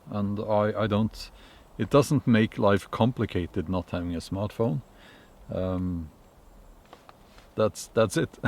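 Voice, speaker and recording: A middle-aged Norwegian man talking casually to camera outdoors in the woods, unhurried and thoughtful, pausing with many fillers and restarts. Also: on the low side, creaky, a little mellow, slight background noise. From Norway. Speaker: vlogger.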